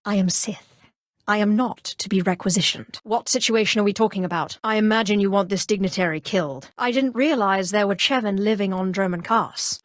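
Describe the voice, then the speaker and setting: An actress playing a cold, haughty, cunning female Sith sorceress in a video game, speaking in a composed, imperious and deliberate way. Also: mid-range voice, dry recording, studio quality, close to an everyday voice. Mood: cool, commanding. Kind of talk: scripted role-playing game dialogue.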